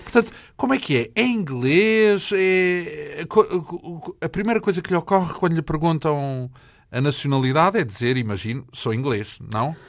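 A man's voice: on the high side, expressive, normal speed, dry recording, studio quality. A man, interviewing a guest with short probing follow-up questions. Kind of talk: interview conversation.

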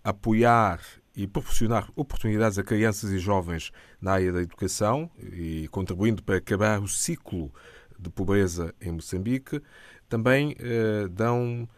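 A man with a European Portuguese accent, asking formal, measured interview questions. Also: lowish voice, no room sound.

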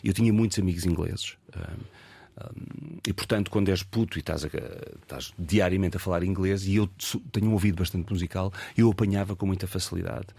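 A Portuguese man in his fifties with a European Portuguese accent, an actor talking reflectively in an interview, pausing and restarting as he thinks. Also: sits low, fairly even intonation, gravelly, mellow, husky, no echo. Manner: reflective, hesitant with many fillers and restarts. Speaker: actor and guest. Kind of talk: casual interview conversation.

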